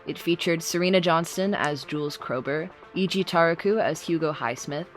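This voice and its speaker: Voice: medium pitch, normal speed, faint music behind. A podcast announcer reading out scripted credits and thanks in a plain announcement style.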